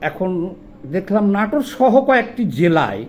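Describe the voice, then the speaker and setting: A man's voice: sits high, wildly swooping pitch, normal speed. A man with a Bangladeshi accent giving informal video commentary, indignant and argumentative, posing rhetorical questions in colloquial speech. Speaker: social commentator.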